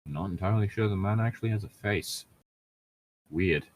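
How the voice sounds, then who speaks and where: A man's voice: lowish voice, a little fast. A man reading a story aloud as a co-host, breaking off for sarcastic, sweary, irreverent asides. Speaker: co-host reading fan fiction aloud with mocking commentary. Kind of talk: read-aloud narration broken by sarcastic asides.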